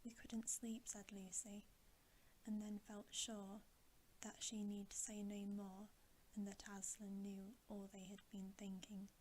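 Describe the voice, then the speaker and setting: A woman reads a bedtime story in a gentle ASMR whisper, slow and even in delivery. Her soft, mellow sound keeps to a narrow range of pitch, with faint noise behind it. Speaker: ASMR channel host reading a bedtime story.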